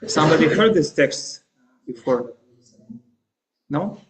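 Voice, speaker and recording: A man with a Russian accent, a native Russian speaker, giving a scripture class in English with many pauses, fillers and restarts. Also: a little high, expressive, slight background noise, rough recording. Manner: halting, frequent fillers and restarts; hesitant non-native English. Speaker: guest lecturer.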